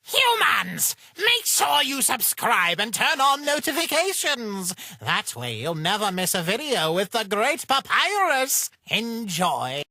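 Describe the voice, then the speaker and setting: Woman as earnest skeleton boy, slow and expressive. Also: on the high side, heavily acted. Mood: cheery, proud. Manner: hesitant, polite, earnest, slightly formal. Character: earnest, polite, innocent young male skeleton. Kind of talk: comic dub character acting. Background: music.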